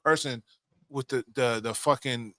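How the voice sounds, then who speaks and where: Young male podcast co-host: forward, bright tone, opinionated, sweary, casual, very dry close sound. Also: medium pitch, fairly even intonation, unhurried, no room sound. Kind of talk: casual film discussion.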